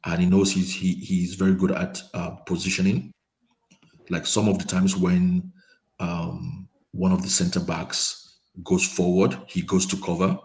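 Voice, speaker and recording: Man, very low voice, halting, restarts his words, casual football chat over a quiet call mic. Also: level tone. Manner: hesitant, repeats words and says um often. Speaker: panel guest. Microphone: remote call mic, quiet.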